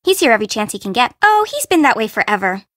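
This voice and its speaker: A woman's voice: on the high side, sing-song, fast, a bit bright, dry recording, studio quality. An actress voicing a flippant, sassy young fashion-minded city girl in a video game, with a teasing, slangy, blunt way of talking. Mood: chatty, teasing. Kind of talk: video game character dialogue.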